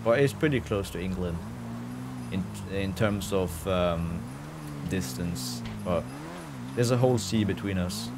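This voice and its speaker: Male game streamer, Norwegian accent, lowish voice, loose casual chat with some swearing, over clear vehicle noise. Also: unhurried. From Norway. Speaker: live streamer. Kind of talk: casual gaming livestream commentary, chatting with viewers. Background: game ambience with vehicle sounds.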